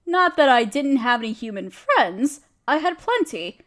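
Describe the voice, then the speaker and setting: A woman's voice: high voice, very animated, measured pace. A woman playing a friendly, genteel witch who chats kindly in quaint, dainty phrases, in an audio roleplay. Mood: kindly, reflective. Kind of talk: scripted audio roleplay speaking to the listener.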